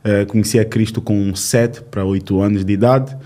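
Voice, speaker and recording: Mellow-voiced man in his thirties from Angola. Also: lowish voice, fairly even intonation. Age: 30s. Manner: earnest, storytelling, with filler pauses. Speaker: youth ministry leader. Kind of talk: testimony in a podcast interview.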